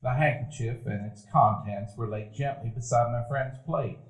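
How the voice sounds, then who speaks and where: An actor narrating a radio play as a grown man recalling his boyhood, in a measured, reflective storytelling manner. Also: medium pitch, natural voice. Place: dinner theater stage before a live audience. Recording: echoey live stage recording.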